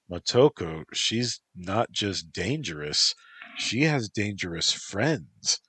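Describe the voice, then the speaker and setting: A man's voice: sits low, a bit bright, slightly rough, no room sound, exaggerated voice. A man voicing a frightened, whiny young man who pleads and whimpers.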